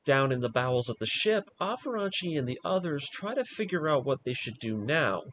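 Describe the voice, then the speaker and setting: A man narrating a scripted story recap as a video essay host, with emphatic, dramatic delivery and pointed pauses. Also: a little high, big pitch swings, a bit bright, slight background noise.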